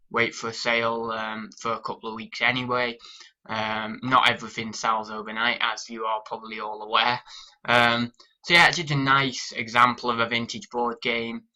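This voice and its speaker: A man's voice: medium pitch, sharp tone, lo-fi sound. A young British man with a cold, chatting casually through a sales update and rambling with lots of ums. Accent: British English. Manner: rambling with many ums and restarts. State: sniffly with a cold. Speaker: online reseller vlogger.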